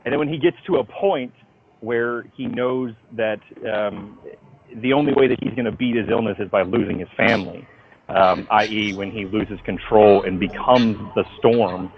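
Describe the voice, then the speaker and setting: A man being interviewed by phone as a writer, talking in an informal, halting but emphatic storytelling way. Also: fairly low pitch, slight background noise. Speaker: interviewee, comic book writer. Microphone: phone call. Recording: dull lo-fi phone call.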